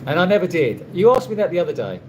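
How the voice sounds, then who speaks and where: A man rambles through viewers' questions on a live stream, his voice sitting slightly high for a man. He trips over his own phrases and restarts often, with a dry, wry humor. A faint hum of background noise runs under the recording. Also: sing-song. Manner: rambling, halting, with many restarts and fillers. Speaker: vlogger answering live chat questions. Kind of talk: casual live-stream chat. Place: condo room.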